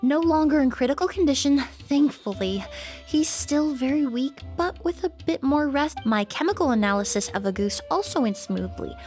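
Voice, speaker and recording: Poised young perfumer-investigator, slightly high, precise, soft music behind. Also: female voice, animated, normal speed. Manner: precise, composed, explanatory. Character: composed young female perfumer and forensic expert. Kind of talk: video game voice acting. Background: game music.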